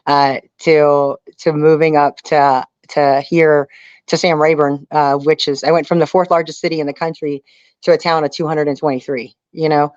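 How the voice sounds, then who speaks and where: A woman's voice: deep voice, flat delivery, moderate pace, a little mellow. A woman from Texas chats as an interview guest, telling stories candidly and with some drama. The sound is dull and band-limited, with no room echo at all. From Houston, Texas area. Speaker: interview guest, outdoorswoman and reality show contestant. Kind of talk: casual storytelling in an interview.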